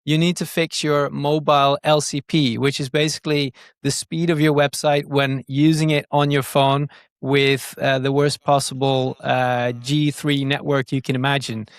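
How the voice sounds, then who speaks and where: A man's voice: mid-range voice, level tone, normal speed, smooth, no room sound, clean studio sound. A man explaining things in casual interview talk, repeating words as he thinks them through. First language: Norwegian is not native; speaks it brokenly. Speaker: digital growth marketer, podcast guest.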